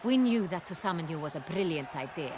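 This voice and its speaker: An actress playing an effusive, imperious duchess in a video game, speaking grandly. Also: female voice, sits low, expressive, brisk. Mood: delighted, imperious. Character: regal duchess, adult woman, effusive and commanding. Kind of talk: video game dialogue acting. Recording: noisy crowd ambience behind.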